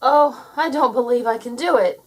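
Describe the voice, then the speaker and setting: A woman voicing an excitable young male seagull in a children's storybook, self-doubting but eager, with plaintive, dramatic exclamations. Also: a little high, normal speed, voice pushed up, close to an everyday voice, poor audio. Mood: discouraged.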